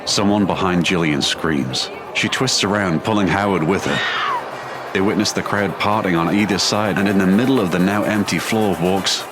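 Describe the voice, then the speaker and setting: A male narrator delivers scripted, dramatic narration for an audio drama. His voice is deep, and music plays clearly behind it. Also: normal speed.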